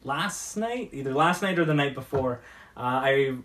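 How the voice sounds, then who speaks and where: A man's voice: mid-range voice, expressive, moderate pace, a bit bright. A chatty, enthusiastic man hosting a casual talk show, bantering as he mixes drinks. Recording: roomy, distant mic with noise and clicks.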